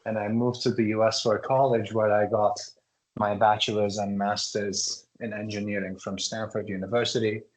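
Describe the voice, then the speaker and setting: A man, a startup founder, giving polished, pitch-like answers in an interview. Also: level tone, moderate pace, rough recording. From Turkey. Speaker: startup CEO guest.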